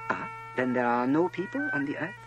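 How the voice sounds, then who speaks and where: A man's voice: mid-range voice, lively intonation, a little fast, muffled recording, music in the background, voice pushed up, natural voice. A man voicing a lonely, inquisitive little boy prince in a storybook reading, with an earnest, questioning manner. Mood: wistful, curious.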